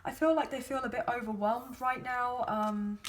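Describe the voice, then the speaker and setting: A woman's voice: a little high, fairly even intonation, moderate pace, a little hiss or hum. A woman gives a chatty, rambling tarot reading, filling it with hedges and ums. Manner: chatty, rambling, hedging with many fillers. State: sore throat, losing her voice. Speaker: tarot card reader. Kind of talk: casual spoken tarot reading.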